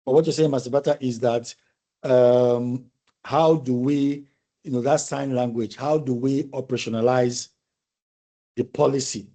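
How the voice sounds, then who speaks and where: Dark-voiced male lecturer, thoughtful, restarting phrases. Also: medium pitch, fairly even intonation, unhurried. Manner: thoughtful, repeats and restarts phrases while explaining. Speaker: university lecturer. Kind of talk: conversational online class discussion.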